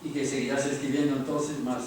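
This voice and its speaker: A man's voice: mid-range voice, level tone, brisk, darkish tone. A man interviewing a writer, chatty and full of follow-up questions. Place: large room at an award ceremony. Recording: echoey room, far from mic, noisy.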